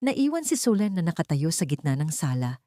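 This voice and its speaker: A woman's voice: deep voice, big pitch swings, fairly quick, warm tone, no echo, clean studio sound. A woman with a Filipino accent reading a dramatic scripted audiobook story as narrator.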